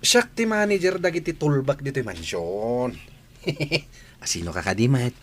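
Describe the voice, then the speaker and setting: A man's voice: mid-range voice, lively intonation, measured pace, fairly bright tone. A man with an Ilocano accent playing a boastful, stammering driver who poses as the boss in a radio comedy drama. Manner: pompous, stammering. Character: boastful, bumbling male driver posing as boss.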